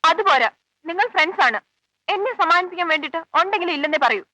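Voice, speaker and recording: An actress playing a jealous young wife who demands answers and hurls accusations in sharp, insistent lines in a 1980s film. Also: female voice, extremely high pitch, expressive, quick pace, dry recording, exaggerated voice, rough recording. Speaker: suspicious wife. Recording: dry 1980s film soundtrack, faint music.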